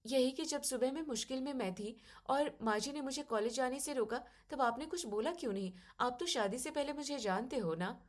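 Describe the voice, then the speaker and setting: Lady playing young bahu in a family story, soft polite voice but firm, explains herself. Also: high-pitched, normal speed, few pauses. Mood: hurt, resolute. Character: determined, soft-spoken educated young daughter-in-law.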